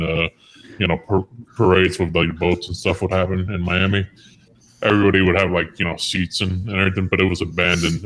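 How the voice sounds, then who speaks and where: A man's voice: low-pitched, a little hiss or hum, low-quality recording. A man on a voice chat stream, rambling through a crude story and chuckling as he goes. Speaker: stream guest.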